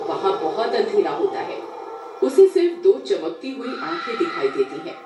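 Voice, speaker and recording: A woman's voice: mid-range voice, sing-song, moderate pace, noisy background. A woman storyteller reading a scripted horror tale. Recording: echoey, distant, music underneath.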